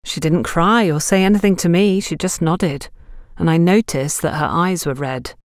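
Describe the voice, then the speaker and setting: A woman's voice: fairly low pitch, normal speed, no room sound, studio quality, lightly acted. A woman voicing a suspicious, confiding young female shop worker in an audiobook, telling what they know warily. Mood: confiding, wary. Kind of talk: audiobook character dialogue.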